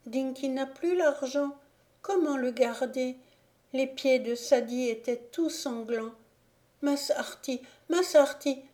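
A woman's voice: sits high, slow pace, warm tone, voice pushed up. A woman voicing a delirious feverish little boy. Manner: raised, breathless, pleading delivery. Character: feverish delirious young boy, frightened and pleading.

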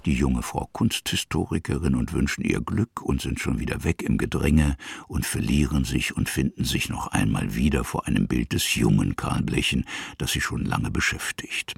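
A man with a gravelly, husky voice narrating a literary radio drama in short, weighty, dramatic phrases. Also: very deep voice, moderate pace, no room sound, studio quality, exaggerated voice.

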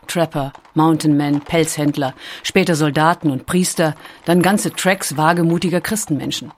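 A woman reading a scripted documentary story with measured, deliberate phrasing. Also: low voice, darkish tone. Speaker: audiobook narrator.

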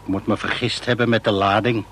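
An actor playing an eccentric, opinionated inventor in a radio play, chatty and indignant, with emphatic rhetorical delivery. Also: male voice, lowish voice, level tone, a little fast, fairly bright tone, slight background noise, close to an everyday voice. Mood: sheepish. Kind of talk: Dutch radio play dialogue.

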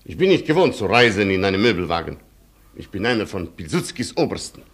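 An actor in a German radio play playing a haughty, stubborn cavalry colonel with a husky voice and a pompous, clipped, condescending delivery. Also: male voice, fairly low pitch, big pitch swings, fast talker, fairly bright tone, full character voice. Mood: imperious.

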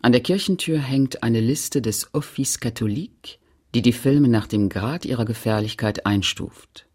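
A man with a husky, gravelly voice narrating a literary radio play in a measured, read-aloud manner. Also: medium pitch, lightly acted.

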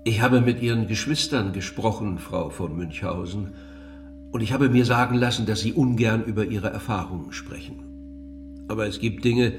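An actor playing a courteous, measured but insistent interviewer in a radio play. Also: male voice, fairly low pitch, soft background music, natural voice. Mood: politely probing. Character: polite, probing male interviewer addressing an elderly lady. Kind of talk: radio drama dialogue.

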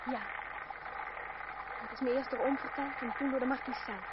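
A woman's voice: high voice, fairly even intonation, normal speed, lightly acted. An actress playing a haughty, teasing young noblewoman in an old radio drama, with a genteel, theatrical delivery. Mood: chatty. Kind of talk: old-fashioned Dutch radio drama acting. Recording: noisy, muffled old radio play recording.

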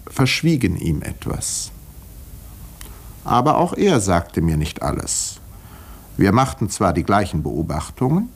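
An observant doctor telling a detective story in a 1950s radio play. His voice is low and moves in lively curves. The telling is measured and literary, with dry irony. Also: full character voice. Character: doctor narrating a murder case, observant, dryly ironic.

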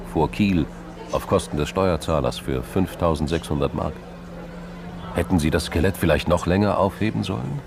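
Very deep gravelly man narrating crime drama. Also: background noise. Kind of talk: scripted radio drama narration.

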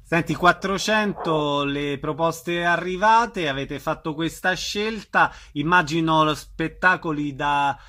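A man's voice: on the high side, slow pace, sharp tone. A man hosting a radio interview, putting conversational questions to a guest. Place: radio broadcast.

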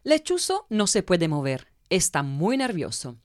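A woman's voice: fairly low pitch, wildly swooping pitch, measured pace, dry recording, clean studio sound. A woman from narrating a scripted audio drama in a wry, knowing way.

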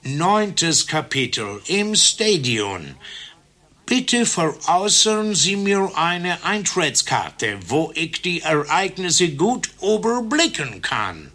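An actor in a satirical radio play playing a stilted, overly formal character, speaking stiffly. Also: male voice, a little high, sing-song, slow, bright, forceful tone, full character voice. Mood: formal, deliberate.

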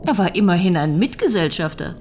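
An actress playing an inquisitive, drily witty widow turned amateur sleuth in a radio crime drama. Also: female voice, on the low side, big pitch swings, fairly quick, a little mellow, a little hiss or hum. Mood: puzzled. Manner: questioning, wry.

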